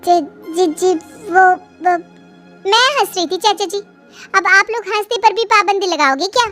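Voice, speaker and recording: A woman's voice: very high voice, unhurried, sharp tone, soft background music, voice pushed up, exaggerated voice. A woman voicing a defiant young bride. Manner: bold, indignant questioning.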